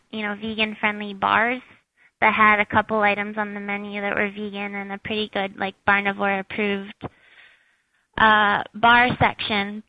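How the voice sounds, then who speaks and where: A woman with a squeaky high voice, a shop owner chatting as an interview guest, with lots of fillers. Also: no echo, narrow, tinny audio. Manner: chatty, many fillers, laughs at herself. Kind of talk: casual interview answers.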